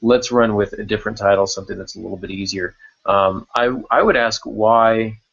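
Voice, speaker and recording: Gravelly guy hosting a podcast, kinda thinking out loud, muffled lofi sound. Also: on the low side, fairly even intonation, fairly quick. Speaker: podcast host discussing writing craft. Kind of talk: casual unscripted discussion.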